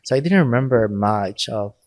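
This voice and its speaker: A man's voice: mid-range voice, animated, normal speed, a little mellow, no room sound, clean studio sound. A young adult man from Mexico, a priest and podcast guest, who speaks English as an in short phrases and laughs often. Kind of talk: casual interview talk.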